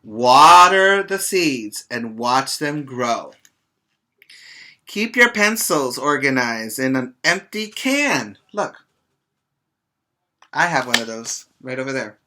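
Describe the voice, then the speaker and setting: Bright-voiced man teaching little kids, unhurried. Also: mid-range voice. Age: middle-aged. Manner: simple, expressive explaining with short asides to children. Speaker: preschool teacher. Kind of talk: reading a children's book aloud and chatting to young pupils. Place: small room, indoors. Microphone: webcam or laptop mic at arm's length.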